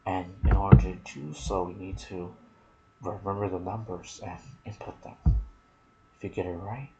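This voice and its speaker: A man giving hushed ASMR gaming commentary, musing softly and often dropping toward a whisper. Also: low-pitched, flat delivery, moderate pace, highs cut off, soft background music. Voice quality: soft, breathy, partly whispered. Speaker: gaming video creator and host. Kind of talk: ASMR gaming commentary mixed with casual chat.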